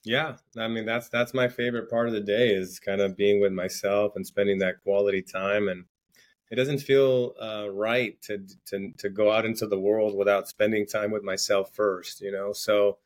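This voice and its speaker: A man's voice: fairly low pitch, flat delivery, moderate pace. Man talking earnestly, often restarting words. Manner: earnest, repeats words when starting sentences. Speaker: podcast guest, entrepreneur. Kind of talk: casual interview chat.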